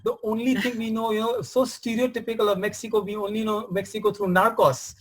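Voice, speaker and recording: A man in his forties hosting an interview, effusive and enthusiastic, quick to praise his guest. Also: high voice, flat delivery, a bit bright. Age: 40s. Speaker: host. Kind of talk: casual video interview.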